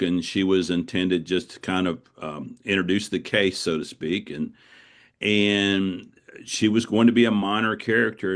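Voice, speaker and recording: Very deep-voiced man in an interview. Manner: reflective, anecdotal, often repeats words as he goes. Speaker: author guest. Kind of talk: conversational interview answers.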